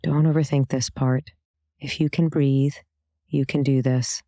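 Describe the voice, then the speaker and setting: A woman meditation teacher giving a soothing guided meditation, calm and gentle, with unhurried instructions and pauses between phrases. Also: very deep voice, dry recording, studio quality.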